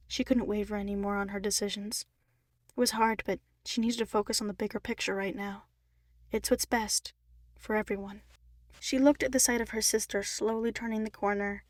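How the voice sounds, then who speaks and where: A woman's voice: medium pitch, no room sound, clean studio sound. A woman reading scripted fiction aloud as a narrator.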